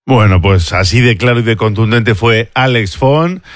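Brisk radio sports host, dry studio. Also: male voice, fairly low pitch, dry recording. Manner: brisk, conversational, reads listener messages. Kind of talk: radio sports news presenting.

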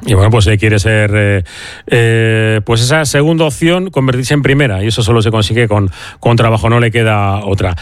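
A man presenting a sports radio show in a loose, halting way with plenty of fillers. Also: fairly low pitch, fairly even intonation, no echo, clean studio sound. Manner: many fillers and restarts.

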